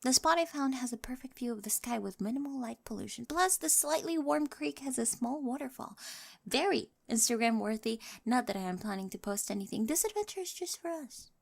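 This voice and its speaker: An awkward, lovestruck teenage girl, acted by a woman in an ASMR roleplay. Her voice sits high with a creaky edge. She rambles eagerly in a nerdy, quirky way, often softening into breathy near-whispers. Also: expressive, fairly quick. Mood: shyly grateful.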